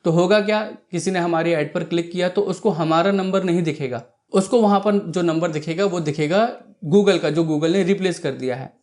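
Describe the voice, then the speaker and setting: A man's voice: a little high, normal speed, hardly any pauses, darkish tone. A man presenting a step-by-step screen tutorial, explaining each action and often checking in, with English technical terms mixed in. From India.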